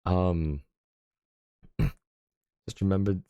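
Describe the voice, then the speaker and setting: Deep voiced guy streaming from home, chatty and rambly, lots of ums, dry close mic. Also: extremely slow, dark tone, clear, resonant tone, no echo. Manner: rambling, lots of fillers and chuckles. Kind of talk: casual stream chat.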